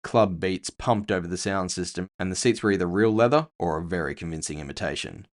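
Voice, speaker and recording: A man's voice: deep voice, a little fast. A man narrating scripted first-person fiction with a wry storytelling manner.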